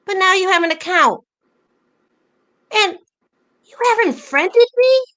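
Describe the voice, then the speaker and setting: A woman's voice: extremely high pitch, lively intonation, slow pace, fairly bright tone, full character voice. A woman acting an exasperated, teasing long-married wife in an amateur comedy play reading, incredulous and needling. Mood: incredulous, accusing.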